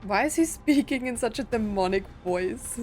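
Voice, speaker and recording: A woman's voice: on the high side, animated, normal speed. A woman hosting a gaming video, reacting casually in short, informal exclamations. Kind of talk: casual live reaction to game story. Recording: game music behind voice.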